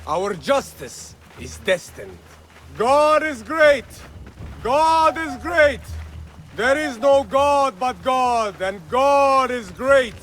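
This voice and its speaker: Fiery rebel leader. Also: male voice, very high voice, animated, extremely slow, fairly bright tone, heavily acted. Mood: rousing. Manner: rousing, oratorical. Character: male rebel leader, fiery preacher of revolt. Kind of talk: video game cutscene acting. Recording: game audio with music.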